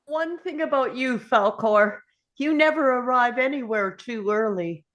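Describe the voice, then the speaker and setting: High-voiced earnest boy hero played by a woman. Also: a little slow, a bit bright, low-quality recording. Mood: weary, teasing. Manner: earnest, urgent. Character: exhausted young boy hero, earnest and urgent. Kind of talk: table read of a film script.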